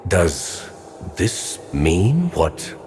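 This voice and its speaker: A man playing a proud, sardonic elven scholar of dark magic in a fantasy game, with theatrical delivery. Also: sits low, very animated, drawn-out words, a little hiss or hum, full character voice. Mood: pleased surprise. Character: wry, proud elven void scholar, a mage.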